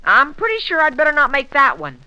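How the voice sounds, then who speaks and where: An awkward, earnest teenage American boy in a 1940s radio comedy. His cracking voice is very high and bright, swooping widely as he stammers along. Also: wildly swooping pitch, fairly quick, lo-fi sound, exaggerated voice. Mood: flustered. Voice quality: adolescent breaking voice. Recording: vintage 1940s radio broadcast.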